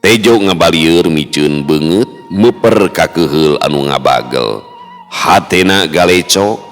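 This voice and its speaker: Super deep voiced guy from west java accent-wise, theatrical bedtime folk tale narration, long reverb, music under it. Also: takes it slowly. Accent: Sundanese-speaking West Java. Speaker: storyteller.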